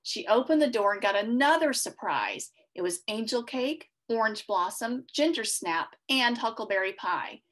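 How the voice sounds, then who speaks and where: A middle-aged woman reading a children's storybook aloud, expressive and clearly worded for young listeners. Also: fairly high pitch, normal speed, hardly any pauses. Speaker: read-aloud host for children. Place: small furnished room at home, indoors.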